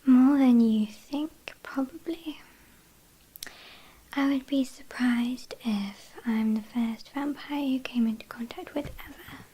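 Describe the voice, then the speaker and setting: A British woman playing a haughty, condescending vampire kidnapper in a breathy, whispered ASMR roleplay, with a dryly teasing manner. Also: high voice, steady tone, dark tone, exaggerated voice, rough recording. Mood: condescending, menacing. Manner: slow, disdainful, dryly teasing.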